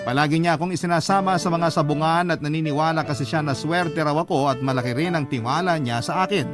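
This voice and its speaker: A man's voice: a little high, quick pace. A man narrating a first-person story as the radio drama's narrator. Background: loud music.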